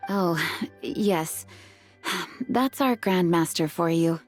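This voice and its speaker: Formal knight commander, measured and calm, soft music. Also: female voice, lowish voice. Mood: composed. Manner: measured, formal, gently weary. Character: composed woman knight commander.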